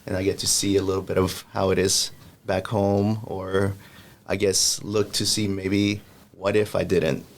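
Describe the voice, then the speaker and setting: A young man from El Salvador answers interview questions in a plain, measured way with a lowish voice. He pauses now and then with fillers. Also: flat delivery. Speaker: guest.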